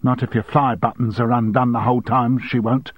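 A man voicing an indignant, sharp-tongued longtime woman secretary with cutting, sarcastic retorts, on a dry, slightly dull recording. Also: medium pitch, flat delivery, a little fast, no room sound, pitched above the performer's own voice, lightly acted.

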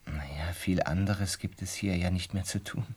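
An actor playing a gentle, melancholic butterfly enthusiast in a radio drama, measured, reflective and hesitant, with a husky voice. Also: male voice, low voice, steady tone, no room sound. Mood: soft, intimate. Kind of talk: radio drama acting and first-person narration.

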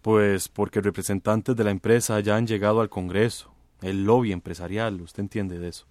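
A man acts out a smooth political schemer in a radio drama, with a low voice. He is a congressman who speaks formally and measuredly, always insinuating more than he says. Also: steady tone, normal speed, darkish tone, dry recording, close to an everyday voice. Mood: conspiratorial. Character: male congressman, discreet, smooth, veiledly threatening political schemer. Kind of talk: Spanish-language radio drama acting.

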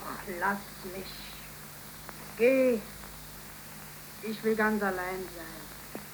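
An actress playing a grieving, defiant young daughter, curt and emotional, in a 1930s radio play. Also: female voice, mid-range voice, very slow, a little hiss or hum. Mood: weary grief. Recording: echoey 1930s radio recording.

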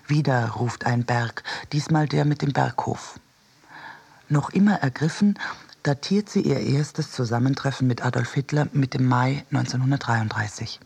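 Husky, creaky male radio narrator. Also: mid-range voice, darkish tone. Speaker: reader of a literary essay. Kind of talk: scripted narration in a radio production.

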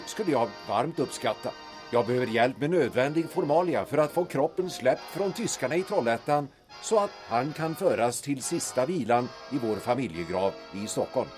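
An actor playing a haughty, condescending Stockholm gentleman in a period audio drama, speaking formally and theatrically. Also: on the high side, close to an everyday voice. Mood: formal, gratified. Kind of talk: period radio drama acting.

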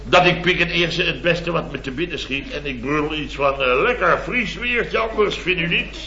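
An actor playing an exasperated, blustering boss in an old radio comedy, telling indignant tales with mimicked quotes. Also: male voice, a little high, normal speed, sharp tone. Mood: flustered, indignant. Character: blustering, exasperated male boss of a building firm. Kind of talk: 1970s Dutch radio comedy acting. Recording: muffled old radio recording, roomy, studio audience.

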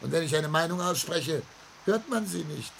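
An actor playing a distraught, outcast older gentleman in a German radio play, theatrical and bitter, swinging between hushed tones and outbursts. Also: a little high, lively intonation, moderate pace, vocal fry. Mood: agitated, bitter. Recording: faint noise on old radio recording.

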